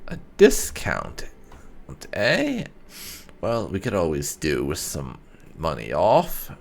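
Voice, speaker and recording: A man hosts a gaming video in a low, creaky voice. His pitch swings widely as he rambles casually through his commentary, at an unhurried, slowish pace. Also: wildly swooping pitch. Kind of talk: casual gameplay commentary with light roleplay.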